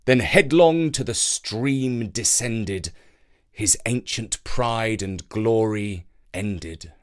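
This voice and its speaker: Man telling fables with lively pitch swings. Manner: measured, dramatic verse reading. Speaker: storyteller and presenter. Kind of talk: expressive scripted storytelling.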